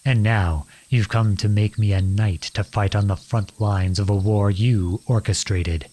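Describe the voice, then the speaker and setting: Casting: male actor for a young outlaw prince, earnest and defiant, lower-pitched husky voice, audio drama. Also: steady tone, mellow. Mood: bitter, defiant. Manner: earnest, deliberate, pleading and accusing. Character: defiant outlawed young prince confronting his cruel king father. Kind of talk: dramatic audio roleplay acting.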